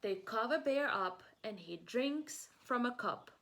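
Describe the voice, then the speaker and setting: A woman preschool teacher reading a picture book aloud, encouraging the children and asking them questions with pauses for answers. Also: a little high, expressive, creaky, fairly bright tone, slight background noise.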